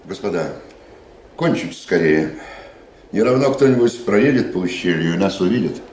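An actor playing a scheming army captain in a radio drama, blustering and full of contempt. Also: male voice, sits low, lively intonation, moderate pace, a little mellow. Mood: impatient. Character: scheming, blustering dragoon captain, a duel second. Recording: echoey, noisy old radio recording.

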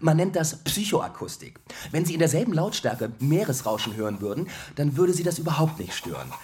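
A man's voice: fairly high pitch, very animated, brisk, darkish tone. An actor playing a chatty, matter-of-fact male dentist who blithely lectures a patient in a radio drama. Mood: breezy, lecturing. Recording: clear background noise.

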